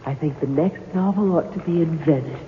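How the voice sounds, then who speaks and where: A woman's voice: sits low, brisk, close to an everyday voice. A coquettish phantom woman voiced by an actress muses airily and teasingly, wrapped in a long echo. She is self-absorbed and sounds like a character in a seventies radio play, heard through a narrow, faintly noisy broadcast. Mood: wheedling. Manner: airy, teasing, musing. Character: coquettish, self-absorbed female fictional character, part ghost. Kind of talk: 1970s radio drama acting. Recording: echoing, tinny, noisy old radio recording.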